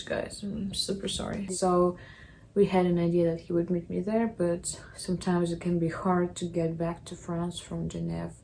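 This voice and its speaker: A young woman talks casually to her viewers from home. Her low voice has a gravelly, creaky edge and a mellow, dark tone. Her English is halting and full of restarts, with a faint hiss of noise behind her. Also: rough recording. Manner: halting, apologetic non-native English with restarts. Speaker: vlogger. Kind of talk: casual chat to camera. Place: apartment.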